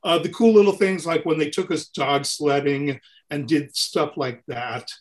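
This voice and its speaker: Gabby man with a higher-pitched voice. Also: unhurried. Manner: gabby, rambling, animated storyteller. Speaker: interview guest, touring keyboard player. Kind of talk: casual anecdotal interview chat.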